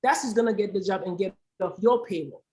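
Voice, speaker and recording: Woman from Haiti, founder on a discussion panel, emphatic and impassioned, mid-pitched voice. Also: quick pace, a little mellow. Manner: emphatic, repeats phrases for stress. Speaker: aid organization founder, panel guest.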